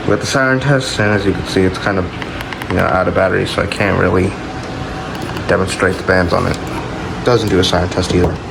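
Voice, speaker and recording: A man with a low, creaky voice hosts an informal showcase video. He talks in a plain, offhand and halting way, unscripted. A loud, steady ambient hum and hiss runs behind him. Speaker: video host showing a collection. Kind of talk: casual unscripted product showcase.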